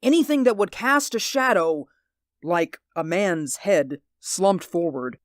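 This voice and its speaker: An actor in a 1940s radio drama playing a haunted, sleepless man who pleads in an intense, hushed voice. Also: high-pitched, expressive, slow, no echo, clean studio sound, heavily acted. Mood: pleading.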